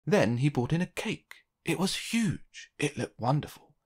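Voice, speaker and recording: A narrator reading a children's storybook aloud in an expressive, theatrical way with deliberate phrasing. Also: mid-range voice, husky.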